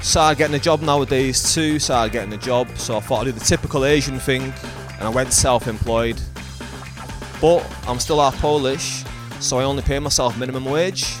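A man's voice: medium pitch, normal speed. A man from the UK delivering a stand-up comedy routine. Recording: guitar music over speech.

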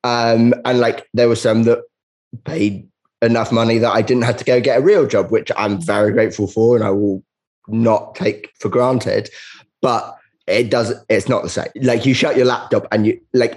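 A man's voice: medium pitch, moderate pace, a little mellow. A man, a comedian being interviewed, telling casual anecdotes in a candid, self-deprecating way. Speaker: stand-up comedian, interview guest.

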